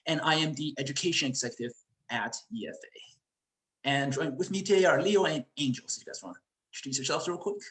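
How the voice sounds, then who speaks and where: A man's voice: a little high, brisk, a bit bright. A teenage male student, presenting informally on an online call. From Chicago area, United States. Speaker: student presenter, education executive of a youth charity. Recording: roomy echo on online call.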